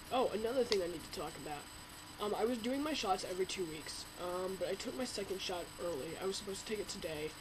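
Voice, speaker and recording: A man's voice: high-pitched, noisy background. A young man talking casually to his webcam in a home video diary, rambling with lots of fillers. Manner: rambling, chatty, full of "um" and "like". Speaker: vlogger.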